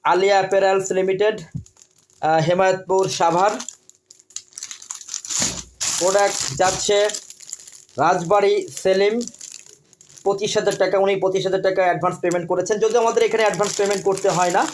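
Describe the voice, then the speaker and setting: A man with a distinctly high voice and a Bangladeshi accent presents a sales pitch for electronics. He announces things deliberately, breaking them into short phrases and repeating key details. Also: slight background noise. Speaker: product seller and presenter.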